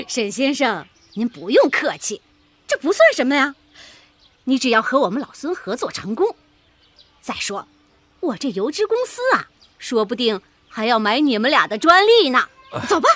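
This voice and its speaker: A high-voiced, pushy businesswoman. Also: sing-song, normal speed, a bit bright, no echo, exaggerated voice. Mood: expansive, hospitable. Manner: breezy, pushy, gushingly hospitable. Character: breezy, persuasive female oil company boss. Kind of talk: Chinese radio drama acting.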